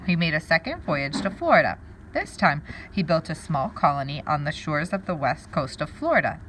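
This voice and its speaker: Low-voiced woman teacher reading aloud, lively intonation. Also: a little hiss or hum, rough recording. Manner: pauses to wonder aloud and explain words to pupils. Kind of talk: read-aloud lesson with think-aloud comments.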